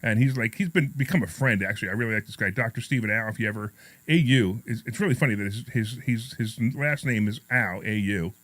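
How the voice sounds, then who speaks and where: A man's voice: on the low side, fast talker, a bit bright, no room sound. Middle-aged male radio host, New York accent, slight lisp; casual, self-mocking, rambling delivery, close dry studio sound. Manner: rambling, repetitive, self-deprecating joking. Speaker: radio talk show host. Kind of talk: casual, jokey talk radio chat and anecdotes.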